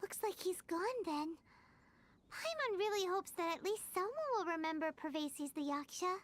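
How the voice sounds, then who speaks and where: A woman's voice: very high voice, lively intonation, normal speed, a little hiss or hum. An actress voicing a tiny, childlike female game companion who talks about herself in the third person. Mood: earnest.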